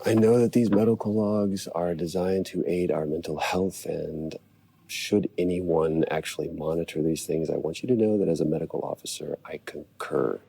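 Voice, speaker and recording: A man acting a weary, worried space-station medical officer in a video game, speaking a personal log haltingly in a gravelly voice. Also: low voice, steady tone, mellow, heavily acted. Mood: homesick, wistful. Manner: halting, hesitant delivery.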